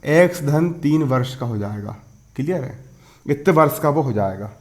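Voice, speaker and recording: A man's voice: a little high, animated, normal speed. A man in his twenties teaching a school maths lesson step by step, repeating himself, asking questions and answering them himself. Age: 20s. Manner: repetitive, asks and answers own questions, checks understanding.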